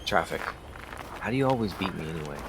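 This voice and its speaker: A man's voice: low-pitched, expressive, fast talker, heavy background noise. An actor playing an earnest, practical male police detective working a murder case. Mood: focused. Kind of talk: audio drama dialogue.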